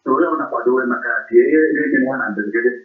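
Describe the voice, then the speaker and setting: A man from Cameroon calling in to a radio stream, talking quickly in Igbo mixed with English. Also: mid-range voice, echoey room. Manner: rapid, mixes Igbo and English. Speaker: caller. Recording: tinny phone line with faint music.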